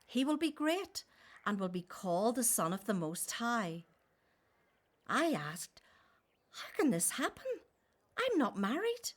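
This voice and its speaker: A gentle young woman of faith in a radio play. Her voice is high and lively in pitch, and she speaks very slowly, with earnest wonder. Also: heavily acted. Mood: wondering, reverent. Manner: earnest, wondering, measured storytelling.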